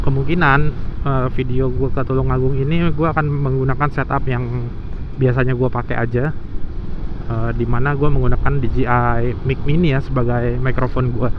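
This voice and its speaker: Man vlogging through dull, noisy helmet mic. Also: mid-range voice. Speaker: motovlogger. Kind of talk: casual vlog chat to viewers. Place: outdoors, riding a motorbike. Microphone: intercom microphone inside helmet. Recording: dull helmet intercom mic, loud traffic noise.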